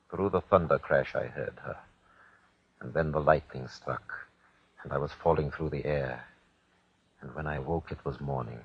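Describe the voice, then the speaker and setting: A man's voice: a bass voice, creaky, slightly rough, exaggerated voice. An actor playing a grave man giving a solemn, stark report in short sentences, in 1940s radio drama style. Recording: dull vintage 1940s radio recording.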